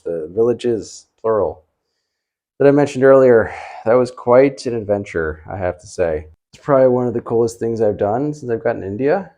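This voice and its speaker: A man's voice: on the low side, warm tone. A man talking casually as a travel vlogger, rambling along in a laid-back way. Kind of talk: casual vlog talk.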